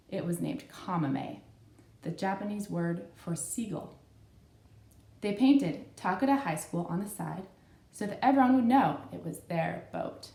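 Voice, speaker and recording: A woman in her thirties speaks to children in simple, encouraging language, as a storytime host and craft teacher would. Her medium-pitched voice is recorded in a roomy space with noticeable echo. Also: mellow. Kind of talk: read-aloud story and step-by-step craft instruction for kids. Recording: roomy, echoey room sound.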